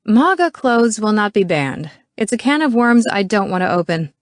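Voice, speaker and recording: A woman's voice: mid-range voice, very animated, normal speed, no echo, studio quality, close to an everyday voice. A woman voicing a stern, exasperated female school principal who barks commands in an audiobook. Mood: firm, weary. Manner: barking, commanding.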